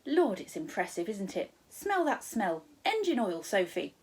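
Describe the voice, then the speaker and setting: A woman voicing a calm, kindly gentleman guardian in a children's storybook, with quaint, reassuring turns of phrase. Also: medium pitch, unhurried, slightly rough, full character voice. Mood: calm, cheerful. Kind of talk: children's storybook read aloud.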